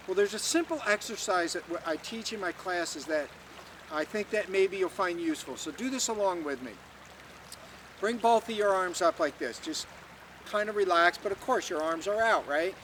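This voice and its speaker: A man teaching tai chi, guiding students calmly and patiently through an exercise step by step. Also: sits high, normal speed, recorded from a distance, slight background noise. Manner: calm, patient step-by-step guidance. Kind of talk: instructional talk with demonstration.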